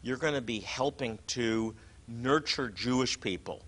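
Bright-voiced man teaching on faith. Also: medium pitch, measured pace. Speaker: host of a religious teaching show. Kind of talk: conversational religious teaching.

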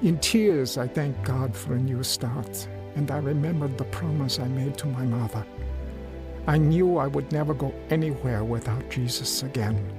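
An actor playing a sincere, humble homeless man with a creaky voice, telling his story in a radio drama. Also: medium pitch, normal speed, natural voice. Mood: earnest, moved. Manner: sincere, reflective. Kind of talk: radio drama first-person narration and dialogue. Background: music.

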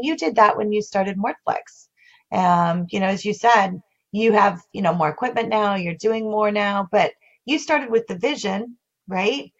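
Lady video coach chatting, kinda low voice, lively, muffled lo-fi sound. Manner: animated, many restarts and fillers. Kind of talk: casual interview chat. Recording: dull lo-fi audio.

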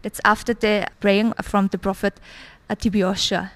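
A woman's voice: medium pitch, flat delivery, a bit bright. Hesitant Austrian woman in her thirties. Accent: Austrian German. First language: German. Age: 30s. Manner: hesitant, many pauses and fillers. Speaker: church visitor giving testimony. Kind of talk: interview testimony.

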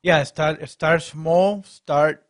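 Host, middle-aged man, fairly high voice, halting and self-conscious, casual interview. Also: fairly even intonation. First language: Spanish. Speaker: host, streaming service founder.

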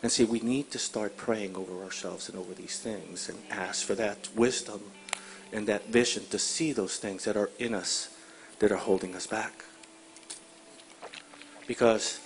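A man's voice: on the low side, poor audio. A man from Puerto Rico in the congregation, hesitant at first and then earnest as he shares a word. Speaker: congregation member. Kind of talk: sharing a prophetic word.

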